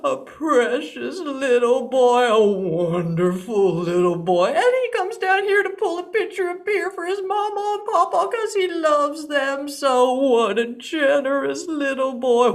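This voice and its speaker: A tearful young woman who wails and sobs over a tragedy she has only imagined, played by a woman storyteller. Her voice swoops high between sobs, and her delivery is slow and drawn out. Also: heavily acted. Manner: sobbing, wailing, dramatic.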